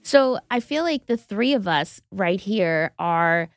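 A woman in her forties hosts a conversation-driven podcast, speaking in an easy, conversational way. Her voice sits at an ordinary middle pitch. The recording is close and dry, with no room sound. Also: expressive, slow, no echo. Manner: warm conversational, sets context with statistics. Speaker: podcast host. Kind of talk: interview podcast.

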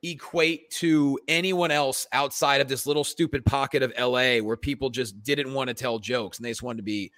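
A man's voice: mid-range voice, steady tone, moderate pace, hardly any pauses, a bit bright, dry recording, studio quality. A man co-hosting a comedy podcast, talking shop casually in a blunt, profane way.

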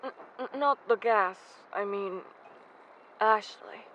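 Male actor as gentle, anxious young travel companion, hesitant delivery, mystery audio drama, dull noisy recording. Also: high voice, slow pace. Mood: casual, uneasy. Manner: hesitant, stammering.

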